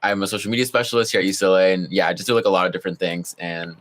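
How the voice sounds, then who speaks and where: A laid-back young man with a very low voice, chatting as a podcast guest. He fills his sentences with "like" and keeps an easy, relaxed flow. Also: very fast. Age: young adult. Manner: laid back, heavy use of "like". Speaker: guest, college student. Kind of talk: casual interview chat.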